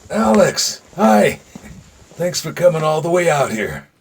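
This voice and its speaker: An actor playing a dying retired Secret Service agent in an audio drama, older, gruff and folksy, weary and confiding, with dry humor. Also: male voice, a little high, big pitch swings, unhurried, slight background noise, heavily acted. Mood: weak, welcoming.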